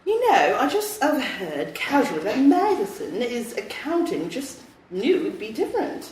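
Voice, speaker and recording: A chatty girlfriend, played by a woman on stage, speaks with sweeping, animated pitch. She sounds concerned and theatrically inquisitive, a little far from the mic in an echoey room. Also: moderate pace, a little hiss or hum. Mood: curious, concerned. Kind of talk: live comedy sketch in old time radio variety style.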